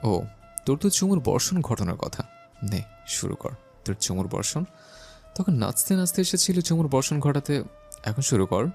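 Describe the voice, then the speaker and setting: Guy doing a bossy teasing young husband, gravelly low voice, swings up and down a lot. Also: normal speed, pauses often, faint music behind. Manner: teasing, possessive, lively delivery. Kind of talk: audio story character acting.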